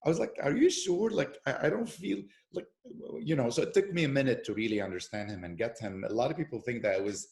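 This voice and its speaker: A man talking in a relaxed interview, telling stories with lots of fillers and restarts. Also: mid-range voice, fast, gravelly, hardly any pauses. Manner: animated storyteller with many fillers and restarts. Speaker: actor and podcast guest. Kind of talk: casual interview conversation. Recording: echoey room.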